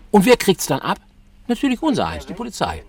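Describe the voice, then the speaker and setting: A man's voice: high-pitched, wildly swooping pitch, brisk. An actor playing a sarcastic, disgruntled policeman in a radio drama, muttering sardonically to himself. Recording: clear background noise with clicks.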